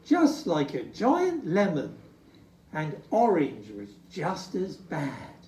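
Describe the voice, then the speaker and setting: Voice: mid-range voice, huge pitch swings, slow pace, a little mellow. A storybook reader voicing a troubled, fussy old Santa who sighs and laments in sing-song rhyme. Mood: fretful. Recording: echoey room, distant from mic.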